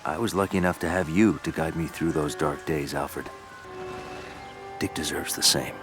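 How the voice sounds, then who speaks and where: A man playing a brooding, protective masked vigilante in an animated series, with a gravelly voice. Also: very deep voice, normal speed, music in the background. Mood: solemn.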